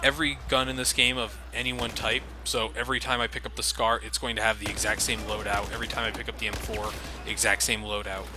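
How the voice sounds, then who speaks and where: A man's voice: lowish voice, level tone, quick pace, hardly any pauses, over music. A man giving casual, sardonic commentary over a video game, swearing offhand and laughing often. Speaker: let's play commentator. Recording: noisy home recording.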